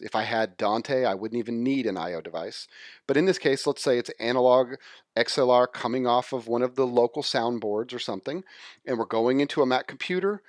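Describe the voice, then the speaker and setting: A man's voice: medium pitch, dry recording, clean studio sound. A man giving an informal screen-share tutorial, explaining technical steps one by one in a conversational way. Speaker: software demonstrator.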